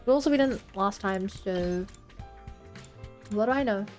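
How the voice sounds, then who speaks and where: A woman's voice: mid-range voice, measured pace, a little mellow, soft background music. A woman in her twenties streaming a game, rambling and joking as she talks to her chat. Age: 20s. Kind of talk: casual gameplay commentary.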